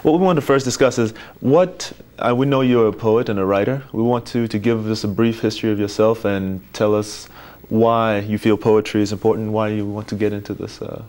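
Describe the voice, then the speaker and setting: Nigerian-born guy running a panel, lowish voice, lots of ums and uhs. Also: steady tone, a little mellow. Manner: halting, frequent fillers. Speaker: moderator. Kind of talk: hosting a panel discussion.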